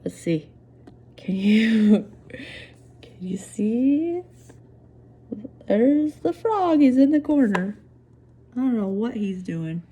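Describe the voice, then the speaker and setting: Female teacher type for a children's read-aloud. Slightly raspy voice, very varied intonation, talks straight to the kids. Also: a little high, animated, very slow, long pauses, dark tone. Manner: addresses young listeners directly. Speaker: teacher reading aloud to students.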